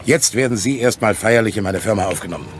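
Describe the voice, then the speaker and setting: An actor playing a self-interested company boss in a radio crime drama, patronising and conversational. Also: male voice, on the low side, fast, close to an everyday voice. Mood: calculating, patronising. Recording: faint noise, ambience behind.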